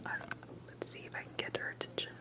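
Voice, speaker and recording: Whispering, secretive woman in ASMR roleplay. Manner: hushed, secretive, hesitant with soft pauses. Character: secretive, cautious investigator interviewing an alien abductee.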